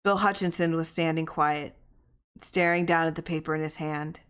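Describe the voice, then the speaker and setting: Lower-pitched woman reading a short story. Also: steady tone, moderate pace, a bit bright, clear, resonant tone. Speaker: story reader. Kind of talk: scripted narration of a short story.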